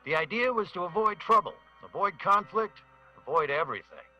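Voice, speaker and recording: An actor playing a world-weary semi-retired mercenary turned private detective, narrating an old-time radio adventure in a wry way. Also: male voice, high voice, big pitch swings, a little fast, bright, forceful tone, lo-fi sound, exaggerated voice. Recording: music bed behind the voice.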